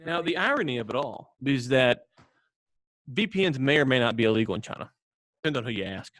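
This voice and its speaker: An American man co-hosts a podcast in a casual storytelling style. His mid-pitched voice rambles along with mock seriousness and frequent joking. The sound is dry and close, with little room in it. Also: animated, brisk, a bit bright, no room sound. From United States. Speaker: podcast co-host.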